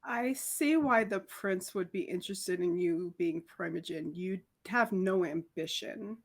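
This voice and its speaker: A woman's voice: on the low side, a little slow. A woman playing a tabletop roleplaying game, speaking casually as she improvises lines in character.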